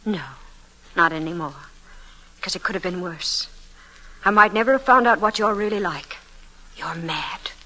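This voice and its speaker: A woman's voice: fairly low pitch, moderate pace, pauses often, sharp tone. An actress playing an earnest young woman, frightened yet defiant, intense and accusing, in an old-time radio thriller. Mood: bitter defiance. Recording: faintly noisy vintage radio recording.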